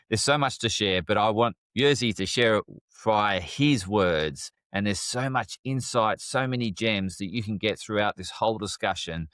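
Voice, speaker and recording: A man's voice: lowish voice, a bit bright, clean tone, no echo. A man hosting a podcast, introducing his guest with enthusiasm and repeating phrases. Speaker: podcast host.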